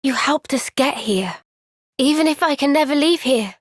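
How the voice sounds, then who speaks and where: An actress playing an earnest, gentle but firm young woman fighter in a video game, speaking in a measured, sincere way. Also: sits high, animated, no room sound, clean studio sound. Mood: thoughtful. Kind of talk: video game cutscene voice acting.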